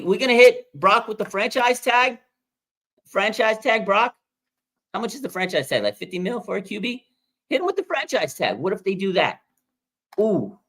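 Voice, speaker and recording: Excitable American man, higher voice. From Las Vegas, Nevada, USA. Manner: excitable, with sudden pitch jumps. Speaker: livestream host. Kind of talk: casual live chat, reading fan comments.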